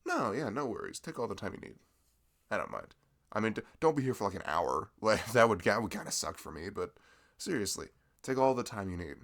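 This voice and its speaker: Deep gravelly man, chatty and giggly. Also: sing-song, brisk, fairly bright tone. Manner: chatty, giggly, friendly shopkeeper talk. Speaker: ASMR roleplay creator. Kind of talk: scripted audio roleplay, chatty.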